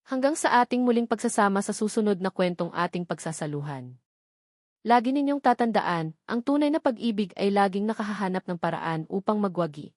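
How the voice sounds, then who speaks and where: A woman's voice: mid-range voice, darkish tone, no echo. Earnest woman narrating a romance, close dry sound. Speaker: storyteller and channel narrator. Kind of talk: scripted dramatic narration of a romance story.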